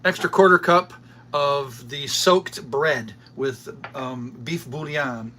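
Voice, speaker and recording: A man's voice: mid-range voice, takes it slowly, bright, forceful tone, slight background noise. A man co-hosting a home cooking stream, laid-back with a dry, teasing manner. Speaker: co-host, cook. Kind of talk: casual cooking chat. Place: home kitchen.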